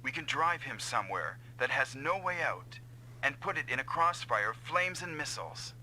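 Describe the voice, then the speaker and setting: An actor playing an earnest, self-doubting comrade pilot who is eager to help, in a dubbed anime-style video game. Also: male voice, medium pitch, very animated, moderate pace, bright, forceful tone, slight background noise, low-quality recording. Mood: purposeful.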